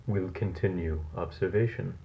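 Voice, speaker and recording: A man playing a cold, fascinated scientist in a horror audio log, speaking in a measured, formal, clinical way. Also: deep voice, creaky. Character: cold, fascinated male scientist logging a monstrous experiment. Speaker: narrator of a found-footage audio log. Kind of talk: scripted horror narration, dated lab log. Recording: dull lo-fi tape recording.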